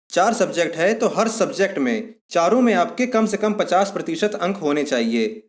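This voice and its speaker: A man giving an explanatory lesson as an exam-prep instructor, methodically restating points in simpler words. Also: high-pitched.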